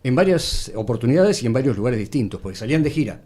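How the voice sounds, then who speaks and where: A man with an Argentine accent chatting casually as a guest, telling anecdotes in a halting, digressive way. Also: medium pitch, big pitch swings, warm tone, breathy edge. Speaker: interview guest.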